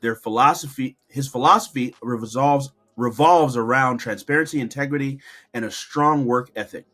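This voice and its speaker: A man's voice: medium pitch, a bit bright. Effusive male interview host. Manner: effusive, admiring, calls guest "brother". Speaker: podcast host. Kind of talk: interview hosting.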